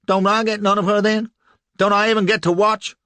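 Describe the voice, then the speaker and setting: A man voicing a whiny, simple-minded young henchman with a high, anxious voice in an audiobook. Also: level tone, brisk, sharp tone, dry recording. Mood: whining.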